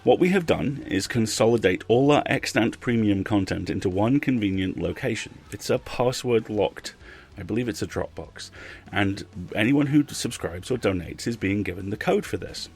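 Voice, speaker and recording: Male podcast host, low and husky, chatty delivery with emphatic phrasing. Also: expressive, normal speed, hardly any pauses, a little mellow. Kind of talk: podcast outro with donation appeal.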